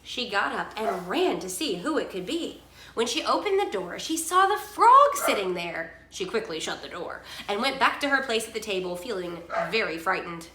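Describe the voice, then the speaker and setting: A woman reading a storybook aloud to a child in an expressive storytelling style, in an echoey room. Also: mid-range voice, moderate pace, noisy background.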